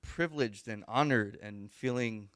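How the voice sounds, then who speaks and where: A man hosting a podcast with his wife, talking in a relaxed, joking way with many pauses and fillers. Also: fairly low pitch, clear, resonant tone, dry recording, clean studio sound. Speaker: podcast host. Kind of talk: casual podcast chat.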